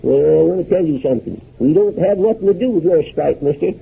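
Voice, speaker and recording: An actor playing a folksy, obtuse old shopkeeper with a hesitant rural American way of talking, in 1930s radio comedy style. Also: male voice, a little high, lively intonation, fast talker, vocal fry, breathy edge, exaggerated voice. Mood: befuddled, folksy. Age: older. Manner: hesitant, drawling, countrified grammar. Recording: muffled 1930s radio recording.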